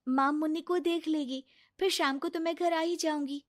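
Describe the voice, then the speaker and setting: Woman doing a sweet polite young bahu, high soft voice, dry sound. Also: fairly even intonation, normal speed, no room sound, natural voice. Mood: earnest. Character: gentle young working daughter-in-law.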